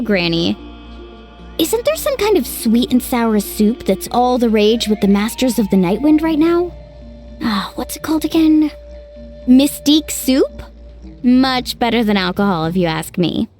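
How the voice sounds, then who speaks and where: High, brash young game hero. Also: female voice, sing-song, unhurried, darkish tone, soft background music. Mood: playful, opinionated. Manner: brash, playful. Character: spirited, cocky young hero, fond of snacks. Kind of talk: video game voice acting.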